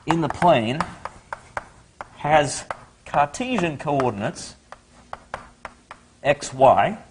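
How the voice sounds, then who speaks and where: A man's voice: fairly high pitch, very animated, drawn-out words, a little hiss or hum. A man with an Australian accent lecturing a university class, explaining step by step and putting questions to the students. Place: lecture hall.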